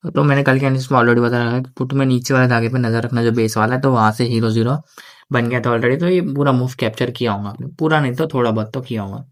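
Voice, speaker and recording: A man walks viewers through his next-day trading plan in a casual, conversational way, often adding "theek hai". He has a sore throat and speaks slowly because of it. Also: mid-range voice, steady tone. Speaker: stock market analyst.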